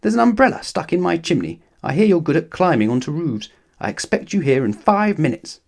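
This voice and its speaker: A haughty storybook gentleman, played by a male reader, pompous and commanding. His voice is pushed higher than usual and swings expressively as he gives orders. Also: expressive, fairly quick, gravelly. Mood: imperious. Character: haughty, demanding wealthy man in a children's story.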